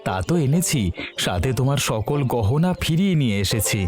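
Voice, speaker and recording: A man's voice: medium pitch, lively intonation, moderate pace, natural voice. A man playing an earnest, dutiful young village son in a cartoon fairy tale, speaking politely. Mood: happy. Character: earnest, dutiful young student son turned fritter seller. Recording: faint music bed.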